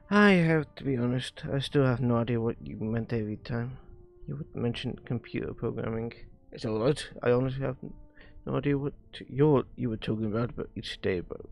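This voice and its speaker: A man streaming a visual novel, reading its dialogue aloud haltingly and often stumbling and rereading lines. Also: mid-range voice, vocal fry, darkish tone. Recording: music behind the voice. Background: music.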